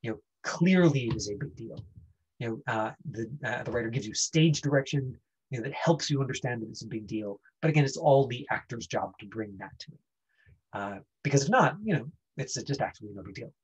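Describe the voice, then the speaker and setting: Husky man teaching an acting class. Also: mid-range voice, animated, lo-fi sound. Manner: halting, explanatory, frequent 'you know'. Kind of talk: informal lesson talk.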